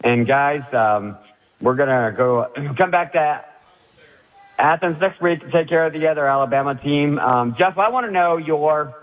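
Man with a head cold calling in. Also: a little high. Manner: excited fan, halting with fillers. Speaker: caller. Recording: dull phone-in line.

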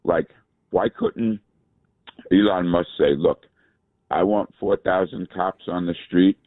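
A man calling in to a radio show, rambling and halting as he talks. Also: sits low, steady tone, no echo. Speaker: caller. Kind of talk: phone-in chat. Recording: tinny phone line.

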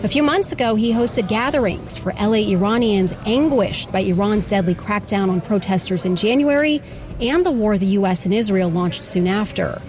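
Radio news reporter, female, American accent, scripted read, mid pitch over a music bed. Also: expressive, moderate pace, a little mellow.

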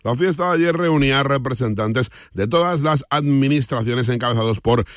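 A man's voice: mid-range voice, normal speed, dry recording, clean studio sound. A man with a Spanish accent from Spain, reading a scripted radio news report as a reporter.

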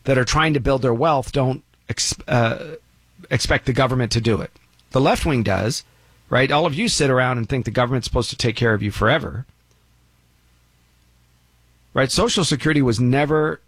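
A man's voice: medium pitch, dry recording. A man giving a blunt, opinionated monologue about money and retirement, lecturing with emphatic rises. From United States.

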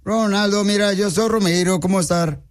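A man with a high voice works as a radio comedy host. He talks in a playful, mock-serious way, as if running a prank. A music bed plays faintly underneath. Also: steady tone, moderate pace.

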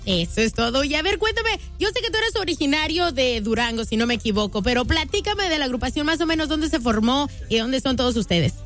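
Bubbly high-voiced woman radio DJ. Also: expressive, a little fast, hardly any pauses, a bit bright, faint music behind. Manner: bubbly, effusive, upbeat. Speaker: radio DJ host. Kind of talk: enthusiastic radio interview. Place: radio studio.

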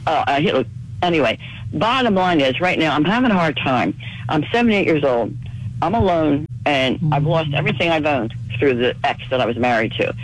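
A woman in her seventies calling in to a radio show, hoarse from a cold, talking fast and rambling from one thing to the next. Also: deep voice, animated, low-quality recording. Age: 70s. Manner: rapid, rambling and chatty. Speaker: caller. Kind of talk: call-in radio conversation.

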